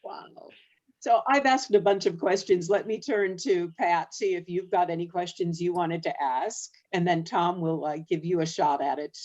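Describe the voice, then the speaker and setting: A woman's voice: on the low side, steady tone, moderate pace, a little mellow, lo-fi sound. A woman hosting an interview, guiding the conversation with friendly questions.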